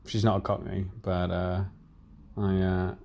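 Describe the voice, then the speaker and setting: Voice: low voice, flat delivery, unhurried, a little mellow, a little hiss or hum. A podcast co-host from the English Midlands, teasing dryly in casual chat.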